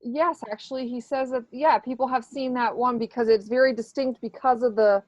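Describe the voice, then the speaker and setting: A woman working as a medium in a casual chat, passing on what she hears, halting and hesitant. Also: a little high, fairly even intonation, no room sound. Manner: hesitant, full of ums and restarts. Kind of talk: casual chat, relaying messages. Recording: dull, muffled sound.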